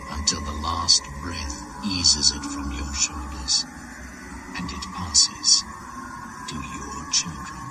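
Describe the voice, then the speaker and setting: A man's voice: full character voice, poor audio. A grave ancient shaman. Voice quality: deep, slow, grave and intoning. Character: ancient male shaman, Father Christmas, solemn and ominous. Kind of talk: 1980s radio drama acting. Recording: music bed behind the voice.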